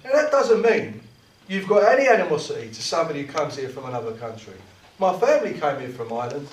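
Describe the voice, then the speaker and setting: A man's voice: fairly high pitch, big pitch swings, quick pace, a little hiss or hum. Impassioned man speaking in a roomy hall. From South East London. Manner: impassioned, restarts and repeats words. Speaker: trade union activist speaker. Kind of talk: political speech at a meeting. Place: meeting room. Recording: roomy hall, mic at a distance.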